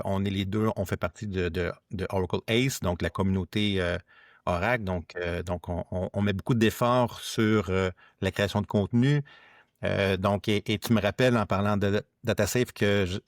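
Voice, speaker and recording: A man with a Quebec French accent hosts a conversational tech podcast. His voice sits low and is recorded very dry. He talks haltingly, often doubling words as he builds a sentence. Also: no echo. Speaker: podcast host.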